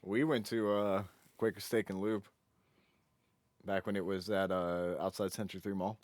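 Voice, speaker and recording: A man's voice: low-pitched, little pitch movement, moderate pace, darkish tone, no echo. Slangy, joking man in casual conversation, dry sound. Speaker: podcast co-host. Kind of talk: casual chat.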